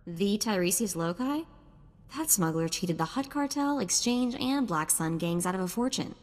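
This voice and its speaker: An actress playing a curious, wry sidekick in a video game, conversational and informative. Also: female voice, mid-range voice, animated, normal speed, long reverb tail, close to an everyday voice. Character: curious, wry female sidekick of a bounty hunter.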